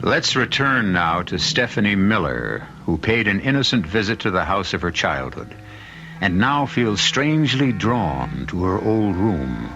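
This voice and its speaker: Deep-voiced radio mystery host. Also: male voice, big pitch swings, unhurried. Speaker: host and narrator. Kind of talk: scripted mystery radio narration. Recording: dull lo-fi radio, music behind.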